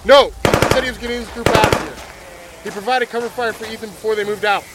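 A man's voice: sits high, quick pace, natural voice. A man playing an urgent survivor relaying news in a zombie audio drama. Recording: very noisy, gunfire behind.

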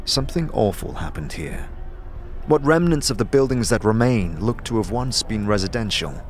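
A man narrating an atmospheric scripted fantasy story in a measured, dramatic manner. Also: fairly low pitch, animated, slight background noise.

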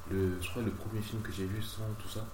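Slangy young man, lowish voice, roomy noisy recording. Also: level tone, fast talker. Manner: informal, slangy, colloquial. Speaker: podcast guest. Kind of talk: casual sibling chat. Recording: roomy, distant mic, noisy background.

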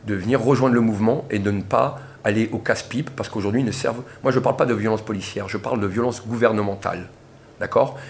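A man's voice: lowish voice, a little hiss or hum. Impassioned man at a press interview. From Portugal. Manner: impassioned, insistent, repeats for emphasis. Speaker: protester giving a press interview. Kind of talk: press conference statement.

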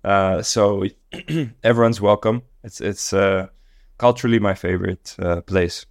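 A man who grew up in Tanzania, a guest on a podcast, talking in a relaxed, thoughtful way with many fillers and restarts. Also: on the low side, measured pace, no echo, clean studio sound. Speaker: guest, company co-founder. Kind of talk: casual podcast interview.

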